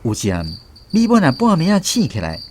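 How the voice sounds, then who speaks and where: A man with a Taiwanese accent narrating a scripted radio drama in an expressive, dramatic way. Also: on the high side, brisk, a little hiss or hum.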